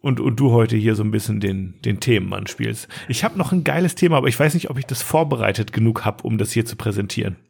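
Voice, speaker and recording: A man's voice: lowish voice, quick pace, vocal fry, hardly any pauses, darkish tone, slightly rough. A man hosting a podcast in loose, colloquial, unscripted chat with a teasing touch. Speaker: podcast host.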